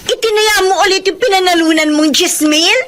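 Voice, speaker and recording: An actress playing a hungry, scheming young wife who quarrels and whines in a radio drama. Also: female voice, a little fast, fairly bright tone, slight background noise. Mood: shrill quarreling. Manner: shrill, quarrelsome, whining.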